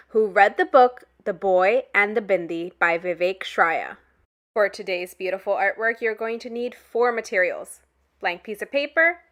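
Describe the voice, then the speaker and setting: A woman leading an art activity as a step-by-step tutorial, in a clear, instructional manner. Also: medium pitch, a bit bright.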